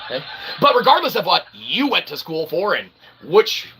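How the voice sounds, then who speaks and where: A sarcastic American man with a fairly high voice, wandering through a comedic rant with constant asides. The recording carries a clear layer of background noise. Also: sing-song, moderate pace. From United States. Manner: sarcastic, rambling, full of fillers and asides. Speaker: channel host. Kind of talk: rambling comedic monologue.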